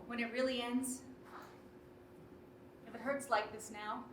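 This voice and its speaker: An actress as a high-pitched, bitter, weary wife. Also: female voice. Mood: brooding, resigned. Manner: emotional, swinging from quiet brooding to outbursts. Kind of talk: naturalistic relationship drama acting. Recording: roomy echo, audible background noise.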